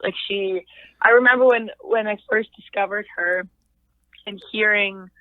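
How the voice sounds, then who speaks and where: Low-voiced woman on a phone line. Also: steady tone, dry recording. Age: young adult. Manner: thoughtful, halting, many fillers and restarts. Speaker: singer-songwriter guest. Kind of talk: casual interview chat.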